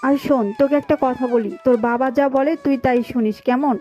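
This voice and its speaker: Woman as a high, soft-toned plaintive village girl. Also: steady tone, fast, soft background music, lightly acted. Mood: resigned. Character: poor teenage village girl, meek, dutiful, resigned. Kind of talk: Bengali cartoon voice acting.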